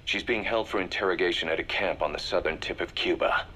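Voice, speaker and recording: A man playing a grave, calculating intelligence briefer for mercenaries, measured and serious, in a video game audio drama. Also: low voice, pushed and bright, a little hiss or hum.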